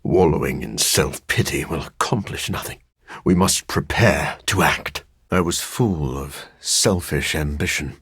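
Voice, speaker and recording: A man voicing a brooding living vampire scientist in a video game, gravelly and husky, formal and measured in wording, with dry deadpan wit. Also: rumbling low, no echo, whispered, heavily acted. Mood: solemn. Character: brooding living vampire scientist, dour yet dryly witty. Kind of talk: video game character voice lines.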